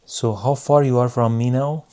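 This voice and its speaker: A man giving a casual hands-on product review in measured, non-native English phrasing. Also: mid-range voice, steady tone, dark tone. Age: adult.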